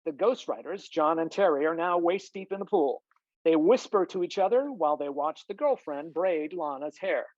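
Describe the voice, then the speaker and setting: A woman's voice: deep voice, expressive, moderate pace, a little mellow, no room sound. Woman narrating, dry slightly dull sound. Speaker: narrator reading stage directions. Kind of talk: scripted narration at a table read. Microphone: video call.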